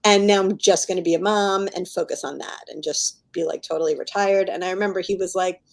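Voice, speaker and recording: Chatty middle-aged woman with a lowish voice. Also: fairly even intonation, brisk, gravelly. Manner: chatty, laughs often while talking. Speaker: guest novelist. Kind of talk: casual podcast conversation.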